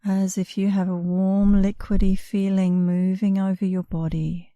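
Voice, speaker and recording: A woman meditation instructor guiding a relaxation session in a soothing, unhurried way, leaving long pauses between short phrases. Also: mid-range voice, flat delivery, dark tone, dry recording. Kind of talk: guided meditation, scripted narration.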